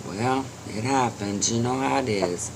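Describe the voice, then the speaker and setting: A man voicing a calm old man of few words in a told story, quiet and understated. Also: mid-range voice, moderate pace, background noise, natural voice. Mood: resigned.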